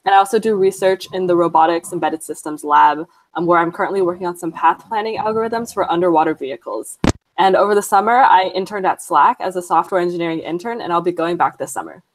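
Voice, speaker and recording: A woman's voice: lowish voice, quick pace, rough recording. Upbeat young woman student. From Arizona, US. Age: young adult. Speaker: student ambassador. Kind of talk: casual self-introduction.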